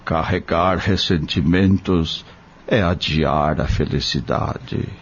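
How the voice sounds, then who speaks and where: Man acting a slow, deep-voiced, kindly country doctor. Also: breathy edge, dull audio, heavily acted. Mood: fatherly, serene. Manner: solemn, measured, gently preaching. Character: kind, grave male country doctor, devout and fatherly. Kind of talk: audio drama acting.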